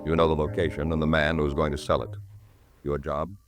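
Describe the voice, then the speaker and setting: A man's voice: a bass voice, flat delivery, a little fast. An American man announcing a 1950s radio crime show in a formal, dramatic, emphatic style. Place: radio studio. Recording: 1950s radio broadcast, music behind.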